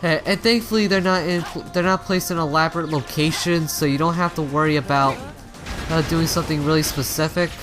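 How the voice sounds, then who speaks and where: A man's voice: fairly high pitch, steady tone, brisk, music in the background. A man giving casual let's play commentary, rambling and often stumbling and rephrasing as he goes. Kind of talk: casual video game commentary.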